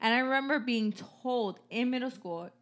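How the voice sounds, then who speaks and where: A woman co-hosting a podcast, chatting casually, full of "like" fillers and quick to laugh. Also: a little high. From United States. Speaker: podcast co-host. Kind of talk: casual conversation.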